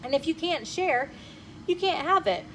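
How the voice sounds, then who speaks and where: A woman's voice: high-pitched, animated, normal speed, fairly bright tone, a little hiss or hum, pitched above the performer's own voice, poor audio. A woman voicing a fair-minded, confident schoolboy in a children's story, firm and direct.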